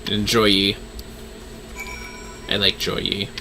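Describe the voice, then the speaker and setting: A man's voice: on the low side, completely flat delivery, slow pace, over music. A man livestreaming a game with casual commentary and offhand, joking, muttered asides.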